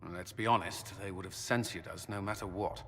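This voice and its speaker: A man's voice: deep voice, fairly even intonation, fairly quick, fairly bright tone, in a large hall, slight background noise. A man playing a grave, skeptical military commander in a video game, with a blunt, dry manner. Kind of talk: video game cutscene acting.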